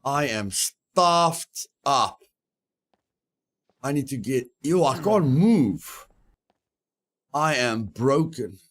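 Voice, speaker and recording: A man's voice: mid-range voice, sing-song, very slow, long pauses. A man streaming a survival game, giving live commentary, repeating his phrases and yelping with mild exclamations when startled.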